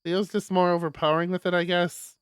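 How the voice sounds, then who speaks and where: Higher-voiced man reading a visual novel aloud. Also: fairly quick, clear, resonant tone, no room sound, clean studio sound. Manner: expressive, stumbles over words while reading. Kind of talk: live read-aloud narration with casual asides.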